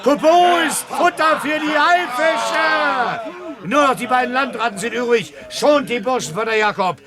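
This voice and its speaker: An actor playing a brash, scheming one-legged pirate ringleader in a radio play, shouting rousing calls to a crew. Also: male voice, very high-pitched, unhurried, over a noisy crowd, very noisy. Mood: rousing, persuasive.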